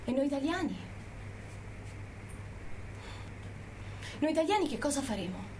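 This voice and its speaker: High-voiced, indignant activist woman, played by an actress. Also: big pitch swings, racing, a little mellow, slight background noise. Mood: pleading, grave. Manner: accusing, rhetorical, emphatic. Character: indignant Italian activist woman living in California, pleading passionately. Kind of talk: impassioned political theatre monologue.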